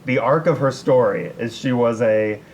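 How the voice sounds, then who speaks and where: A man with a mid-pitched voice chats as a podcast co-host. He retells myths in loose, informal language, with a joking, conversational manner. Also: normal speed, a little mellow, slight background noise. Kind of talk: casual chat retelling myths.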